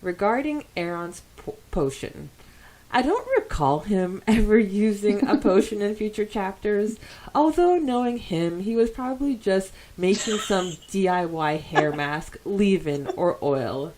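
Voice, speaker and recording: A woman's voice: medium pitch, lively intonation, measured pace, darkish tone. A woman co-hosting a podcast, chatting casually and reading out fan comments with giggly enthusiasm. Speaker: podcast co-host.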